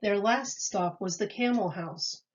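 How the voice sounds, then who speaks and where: A woman reading a children's story aloud with expressive, measured storytelling. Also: mid-range voice, highs cut off. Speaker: storybook reader.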